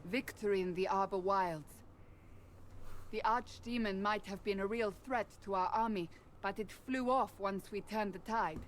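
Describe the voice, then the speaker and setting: An actress playing a resolute warrior woman in a fantasy game, speaking in a formal, measured, solemn way. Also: medium pitch, slight background noise, natural voice. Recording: game audio with faint background ambience.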